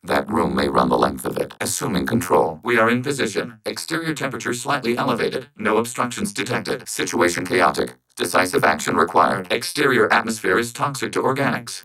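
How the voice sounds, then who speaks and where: An extremely low, gravelly synthetic voice belongs to a calm robot soldier in a video game. It speaks with flat, clipped formality and cool logic, as one collective mind. A machine-like processing coats every word. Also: male voice, heavily acted, low-quality recording. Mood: terse, alert. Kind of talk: video game character voice acting.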